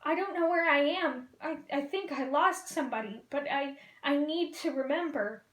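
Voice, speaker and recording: A woman's voice: sits high, normal speed, a bit bright. A woman voicing a cheerful, forgetful female fish in a storybook reading, with a bubbly, sing-song manner. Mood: upset. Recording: roomy echo.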